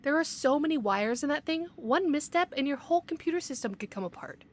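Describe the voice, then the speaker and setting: A woman's voice: high voice, expressive, a little fast. A woman playing a skeptical, by-the-book investigator in an audio drama, speaking precisely and argumentatively. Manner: precise, argumentative, matter-of-fact. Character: skeptical, bookish young female paranormal investigator, stubborn and by-the-book.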